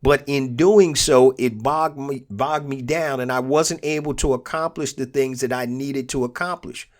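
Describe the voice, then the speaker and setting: A man's voice: medium pitch, fairly even intonation, normal speed. A man with a cold, his nose stuffed and throat sore, hosting a motivational business podcast. He talks earnestly and repeats his key points for emphasis. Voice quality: congested. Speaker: podcast host and business coach.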